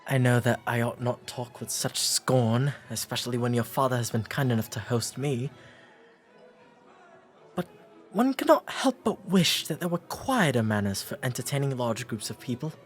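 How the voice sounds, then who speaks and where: A man with an English accent playing a courteous, shy, bookish 19th century gentleman in a romantic audio drama, speaking formally and gently. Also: medium pitch, animated, moderate pace, soft background music, natural voice. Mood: earnest, polite. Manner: formal, polite old-fashioned diction with hesitant, gentle delivery.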